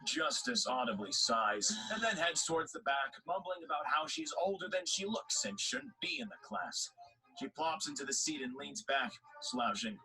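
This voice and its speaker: Very bright-voiced, lively male narrator. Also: fairly high pitch, moderate pace, faint music behind, rough recording. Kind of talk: scripted narration of an audio drama.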